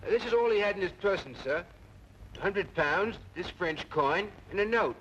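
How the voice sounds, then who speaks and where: A man's voice: high-pitched, expressive, normal speed, fairly bright tone, muffled recording, a little hiss or hum. An actor playing a talkative, deferential policeman who reports eagerly in clipped phrases, in an old-time radio drama. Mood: eager reporting.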